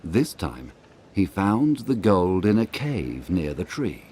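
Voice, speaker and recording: A man narrating a scripted fairy tale in a dramatic, deliberate storytelling manner. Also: sits low, very animated, darkish tone, slight background noise.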